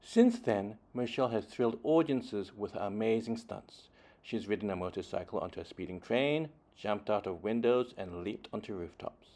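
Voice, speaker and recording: Male children's storyteller with a fairly low voice, reading expressively with deliberate phrasing and varied, dramatic emphasis. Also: warm tone. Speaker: children's book reader.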